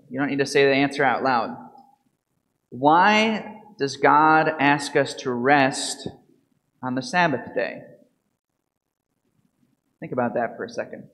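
A man in his thirties, a priest, reads the gospel and gives a calm, measured homily full of questions to the congregation. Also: mid-range voice. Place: church sanctuary at a lectern. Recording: reverberant church from a lectern mic.

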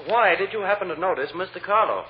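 A man playing an insistent trial lawyer who questions a witness, pausing with uhs and rephrasing, in vintage radio drama style. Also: sits high, expressive. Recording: muffled, faintly hissy old radio recording.

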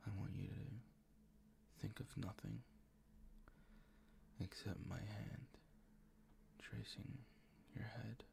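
A man performing improvised boyfriend ASMR in a breathy, gravelly whisper, tender and soothing, close to the microphone. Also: fairly low pitch, steady tone. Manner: tender, slow, soothing, gently coaxing.

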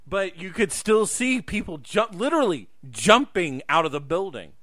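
A man talks as a podcast host in a casual conversational way. His voice is fairly high for a man, with a bright, forceful edge, and he repeats phrases for emphasis. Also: big pitch swings, normal speed.

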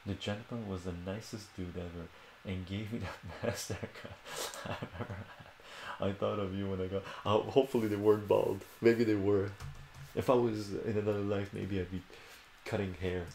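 Middle-aged man, low gravelly voice, chatty whiteboard math lesson, webcam mic, echoey home room, faint background hum. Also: steady tone, normal speed, darkish tone. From Iran. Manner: chatty, repeats phrases and tags "right?" often. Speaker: math streamer. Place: small home room with bookshelves.

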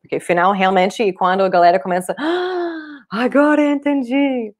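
A woman in her thirties, a native English speaker with a foreign accent in Portuguese, teaching an online language lesson in a clear, deliberate way. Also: a little high. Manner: clear, deliberate explaining with repeated examples. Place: small room indoors, at a desk.

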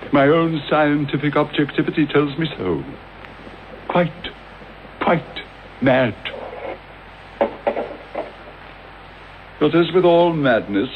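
An actor with a British accent playing a mad, vengeful, gloating villain in a theatrical, wordy radio drama style. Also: male voice, on the high side. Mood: mad, bitter. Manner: theatrical, sardonic, elaborately wordy. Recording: dull, noisy old radio broadcast recording.

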